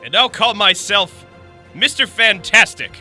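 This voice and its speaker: A man's voice: sits high, animated, unhurried, bright, forceful tone, faint music behind, heavily acted. A man playing an earnest, commanding hero in a comic book audio drama, declaiming as if making a speech. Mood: resolute. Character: earnest, commanding heroic leader of superhumans.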